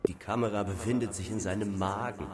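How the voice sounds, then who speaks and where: An actor playing a tattling soldier in a surreal radio drama. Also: male voice, lowish voice, fairly even intonation, fairly quick, recorded from a distance, lightly acted. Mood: gleeful tattling.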